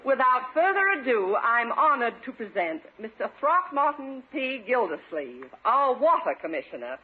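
A gracious, formal club lady of old radio comedy, gushing as a chairwoman does. Her husky voice rises and falls widely on a thin, narrow broadcast. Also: fairly high pitch, big pitch swings, normal speed.

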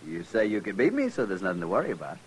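An actor playing a swaggering, mocking young army lieutenant in a 1950s radio western. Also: male voice, low voice, huge pitch swings, very fast, slight background noise. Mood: taunting. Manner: mocking, needling. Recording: vintage 1950s radio recording.

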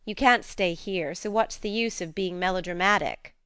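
Spirited, sensible teenage girl, played by a female narrator; storybook dialogue, brisk, frank, smooth tone. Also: lowish voice, fairly bright tone, no echo, close to an everyday voice. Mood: soothing, coaxing. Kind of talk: audiobook character reading.

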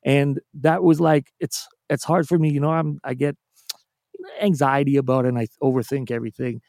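A man's voice: medium pitch, steady tone, moderate pace, gravelly, darkish tone, dry recording, studio quality. A Canadian man chatting casually, telling long anecdotes with frequent restarts. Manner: storytelling, self-deprecating, many restarts. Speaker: music producer and guest. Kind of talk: casual podcast chat.

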